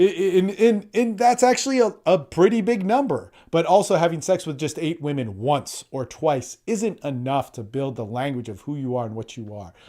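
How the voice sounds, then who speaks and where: A man's voice: a little high, dark tone. A man hosting a solo podcast, talking bluntly and profanely, often restarting words and acting out quotes. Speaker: podcast host and coach.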